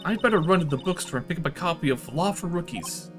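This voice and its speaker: Man putting on an excitable high-pitched young woman. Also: quick pace, music in the background, close to an everyday voice. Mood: determined. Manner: excitable, eager. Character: earnest, spirited young woman, impulsive and loyal.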